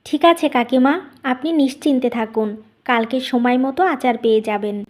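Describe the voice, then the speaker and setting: A woman's voice: high-pitched, moderate pace. A woman voicing a kind, dutiful young daughter-in-law in a cartoon story. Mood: friendly, obliging. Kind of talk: Bengali cartoon story dubbing.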